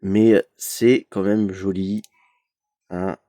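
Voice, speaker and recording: Young male vlogger, stuffy from a cold, unhurried and hesitant, dry close sound. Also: low-pitched, flat delivery, warm tone, no room sound. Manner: hesitant, many "euh" fillers, chatty. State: has a cold. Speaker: YouTube collector opening an advent calendar. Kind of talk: casual unboxing vlog.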